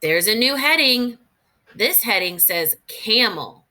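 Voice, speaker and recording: A woman teacher reading a children's nonfiction book aloud in a deliberate, expressive way, pausing to think aloud for young learners. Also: fairly high pitch.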